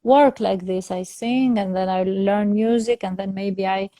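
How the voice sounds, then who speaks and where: Slow, hesitant woman in a dry recording. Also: mid-range voice, fairly even intonation, dry recording. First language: not English. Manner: hesitant, many fillers and restarts, laughs softly. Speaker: soprano opera singer, interview guest. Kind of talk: casual interview conversation in English.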